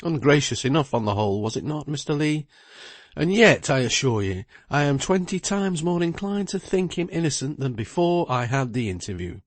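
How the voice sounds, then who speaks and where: A man's voice: a little high, sing-song, dry recording, pitched above the performer's own voice. A man voicing a busy middle-aged lawyer who speaks in a precise, emphatic way. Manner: precise, emphatic, talks quickly.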